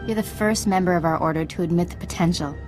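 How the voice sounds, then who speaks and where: Calm, earnest Jedi knight played by a woman. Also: fairly low pitch, quick pace, close to an everyday voice. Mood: earnest, focused. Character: calm thoughtful female Jedi knight, resolute. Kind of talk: scripted video game acting. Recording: music behind the voice.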